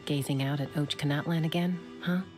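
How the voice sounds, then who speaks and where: A woman's voice: low voice, steady tone, brisk, darkish tone, close to an everyday voice. A woman voicing a confident young rider who steadily encourages a friend. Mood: friendly. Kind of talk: video game voice acting. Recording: game music underneath.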